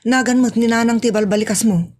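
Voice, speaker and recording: A woman's voice: a little high, fast, darkish tone, close to an everyday voice. A woman playing a nagging, scolding wife in a comic audio drama, with a chiding, questioning delivery. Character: nagging, scolding, sharp-tongued wife in a comedy.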